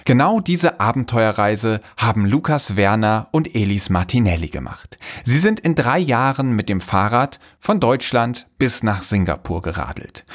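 A man hosting a podcast, introducing his guests and asking friendly, enthusiastic questions. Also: medium pitch. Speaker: podcast host. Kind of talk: podcast interview.

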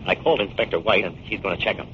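Actor as low-voiced, assured 1940s radio detective, muffled recording. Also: male voice, racing, slight background noise, natural voice. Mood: explanatory. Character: confident, shrewd male amateur detective and scientist. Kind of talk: 1940s radio drama acting.

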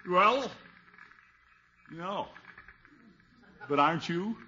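An actor playing a pompous, blustery middle-aged uncle in a 1950s radio comedy, huffy and exclamatory. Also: male voice, high voice, expressive, very slow, sharp tone, exaggerated voice. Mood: indignant. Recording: muffled, noisy 1950s radio recording.

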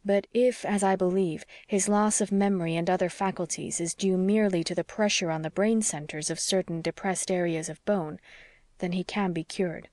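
A woman voicing an earnest, stubborn young male doctor who reasons in a measured, formal way, as in an audiobook. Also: on the low side, fairly even intonation, a little mellow, dry recording, close to an everyday voice. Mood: firm, reasoning. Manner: measured, formal, slightly stiff. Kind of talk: audiobook character dialogue.

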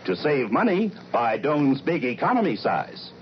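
A man's voice: mid-range voice, sing-song, a little slow. A man announcing a vintage radio advertisement. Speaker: commercial announcer. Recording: dull, faintly noisy old radio recording.

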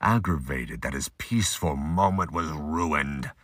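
A man's voice: extremely low pitch, unhurried, fairly bright tone, no room sound, clean studio sound. A man giving scripted audiobook-style narration of a fantasy audio drama. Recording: noisy amateur home recording, clicks.

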